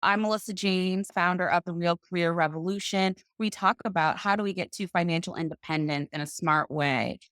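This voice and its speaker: Low-voiced woman telling her story. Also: fairly bright tone, smooth, no room sound. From Boston, US. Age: 30s. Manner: confident, deliberate, slows for emphasis. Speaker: podcast guest, financial coach. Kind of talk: interview storytelling.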